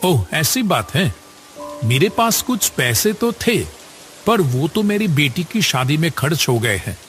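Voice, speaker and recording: A man's voice: a little high, sing-song, a little slow, a bit bright, soft background music. A man voicing a kindly, generous older village headmaster in a cartoon story, chatty and avuncular. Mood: generous, reassuring. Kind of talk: Hindi cartoon story acting.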